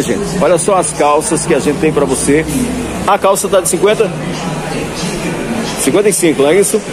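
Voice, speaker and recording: A man filming a sales vlog through a busy clothing market, pitching goods in upbeat patter and repeating prices. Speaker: clothing wholesale vlogger. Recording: noisy crowded market. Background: crowd chatter.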